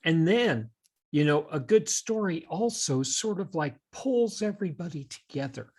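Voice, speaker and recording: A man with a slightly husky voice co-hosting a conversational podcast, explaining ideas in a thoughtful, halting way like a teacher. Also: a little high, expressive.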